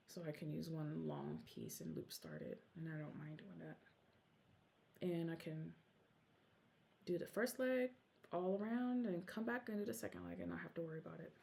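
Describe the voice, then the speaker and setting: A woman whose first language is Korean talks casually in a vlog, rambling and breaking off mid-thought. Her voice sits a little low with a gravelly, creaky edge. She takes her time, speaking slowly. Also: darkish tone. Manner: chatty, rambling, self-interrupting. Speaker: Flosstube vlogger. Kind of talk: casual show-and-tell vlog.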